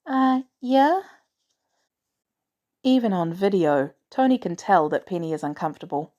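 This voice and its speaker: A woman's voice: on the low side, lively intonation, fairly quick, mellow. A woman reading a scripted story aloud as a narrator. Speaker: audiobook narrator of fan fiction.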